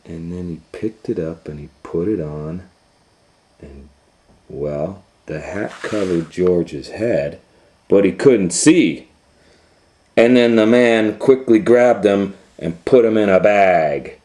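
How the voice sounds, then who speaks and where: Male storytime reader, deep and relaxed, informal and hesitant, explaining things gently to young listeners. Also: slow pace.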